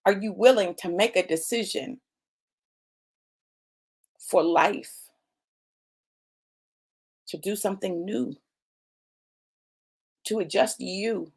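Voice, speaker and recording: A woman's voice: medium pitch, slow pace, vocal fry, long pauses, husky. A woman in her late fifties delivering a motivational sermon, emphatic and repetitive, addressing listeners as y'all and baby. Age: 50s. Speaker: preacher.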